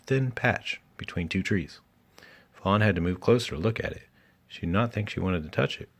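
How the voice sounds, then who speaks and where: A man reading a children's story aloud in an animated storytelling style. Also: deep voice, vocal fry. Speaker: children's book reader.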